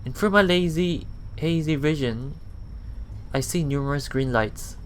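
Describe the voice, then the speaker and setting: A man reading a visual novel aloud as a let's play host, halting and self-correcting, with muttered casual asides. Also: fairly high pitch, a little hiss or hum.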